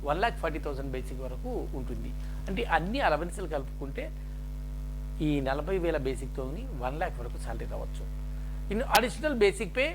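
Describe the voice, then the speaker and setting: Male presenter, very animated intonation, halting point-by-point explainer delivery, noisy recording with mains hum. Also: fairly high pitch. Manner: halting, list-like phrasing mixed with English terms. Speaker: jobs information presenter. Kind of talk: explaining a job notification point by point.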